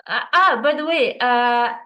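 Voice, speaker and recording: Woman guest, earnest and reflective, slow measured delivery, video call audio. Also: a little high, pushed and bright. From Lithuania. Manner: earnest, reflective, with non-native phrasing. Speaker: guest, writer. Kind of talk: personal storytelling in an interview. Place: home in Croatia, on a video call.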